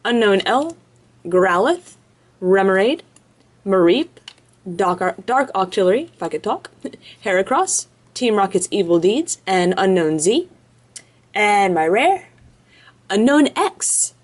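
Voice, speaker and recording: A chatty woman of medium pitch talks casually while she opens card packs. She rattles off names one after another in an easygoing way, recorded close by at an indoor table. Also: big pitch swings. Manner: chatty, lists card names quickly in a row. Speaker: card-opening video host. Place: indoors at a table, off camera behind hands.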